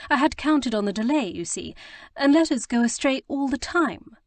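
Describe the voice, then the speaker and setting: A woman voicing a flustered, earnest young gentlewoman who stammers and rushes to explain herself. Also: sits high, animated, no room sound, voice pushed up. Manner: breathless, stammering, eager to explain. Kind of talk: audiobook character reading.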